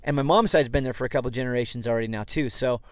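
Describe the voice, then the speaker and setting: A man with a slightly froggy, hoarse voice hosts a casual podcast, rambling and correcting himself as he goes. His pitch sits in the middle range. He records inside a vehicle, and the sound is close and dry. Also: very fast, no room sound. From southeast Idaho, USA. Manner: rambling, self-correcting, conversational. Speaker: podcast host. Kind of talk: casual history podcast monologue.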